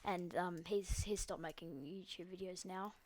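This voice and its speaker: A woman's voice: fairly low pitch, steady tone, normal speed, a little mellow, slight background noise. A young Australian woman giving casual, rambling commentary over her gameplay, full of ums and likes and the odd swear. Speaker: gaming video creator.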